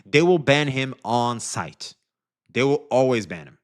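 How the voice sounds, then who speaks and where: A male streamer giving animated, profane commentary, speaking straight to his chat. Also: medium pitch, a little slow.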